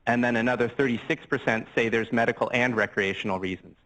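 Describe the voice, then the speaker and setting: A man's voice: mid-range voice, fairly even intonation, fast talker, fairly bright tone, poor audio. An older Canadian man, a physician lecturing on a microphone, explaining things casually with everyday examples and asides. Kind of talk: conversational medical lecture. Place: lecture room. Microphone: handheld mic, swapped mid-talk.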